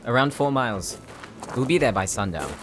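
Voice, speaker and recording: A man's voice: medium pitch, animated, normal speed, background noise, lightly acted. A man playing a frank, practical adventurer in an audio drama, explaining things in a straightforward way. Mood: matter-of-fact. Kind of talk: scripted audio drama roleplay.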